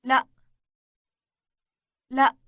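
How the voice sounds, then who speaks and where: A woman from Syria with a Syrian Arabic accent speaking model phrases for a language tape in a crisp delivery. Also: slow pace, sharp tone.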